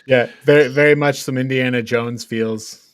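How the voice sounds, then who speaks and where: A man's voice: medium pitch, fairly even intonation, moderate pace, dry recording. A man hosting a casual movie review chat. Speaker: podcast host.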